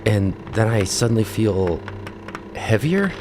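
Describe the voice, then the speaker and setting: An actor playing a frightened teenage boy, narrating haltingly in the first person in an audio drama. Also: on the low side, sing-song, background noise.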